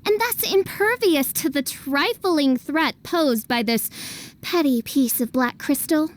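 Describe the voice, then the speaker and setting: Haughty, high-voiced theatrical young princess. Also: female voice, expressive, takes it slowly. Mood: indignant. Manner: pompous, grandiose, archaic royal diction. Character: haughty theatrical teenage self-styled princess of darkness. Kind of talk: anime video game voice acting.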